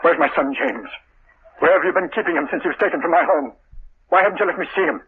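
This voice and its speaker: A frightened scientist father begs for his son's life, as an actor plays him in a vintage radio drama. His voice runs slightly high and catches on stammers. The sound is narrow and thin, with faint hiss. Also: lively intonation, fast, close to an everyday voice. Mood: desperate. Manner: pleading, anxious, stammering. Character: desperate middle-aged male scientist father. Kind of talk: 1940s radio acting. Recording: tinny old radio broadcast with hiss.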